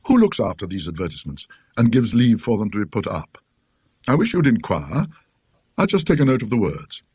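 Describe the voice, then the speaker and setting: A man voicing a polite, educated British gentleman in a ghost story, mildly inquisitive, with a courteous, formal manner. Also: on the low side, sing-song, a little fast, slightly rough, no room sound, clean studio sound. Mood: politely inquiring.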